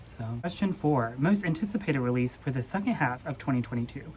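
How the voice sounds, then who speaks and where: A woman's voice: a bass voice, expressive, a little fast, darkish tone, a little hiss or hum. A woman co-hosting a book vlog, chatting casually as she reads questions and gives her answers. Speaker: book vlogger co-hosting a Q&A tag. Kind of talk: casual chat.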